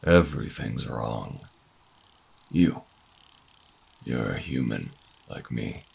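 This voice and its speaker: A man playing a bitter, defiant older human revolutionary in a sci-fi audio drama, speaking in a gravelly, husky voice with theatrical, brooding delivery. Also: very deep voice, expressive, darkish tone, heavily acted. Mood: bitter, anguished. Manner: dramatic, brooding, halting monologue. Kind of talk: audio roleplay drama acting.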